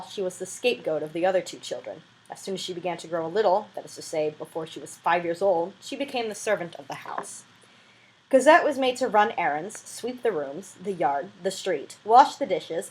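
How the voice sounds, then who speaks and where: A woman's voice: lowish voice, a little mellow, low-quality recording. Woman reading a novel aloud expressively. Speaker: amateur audiobook reader.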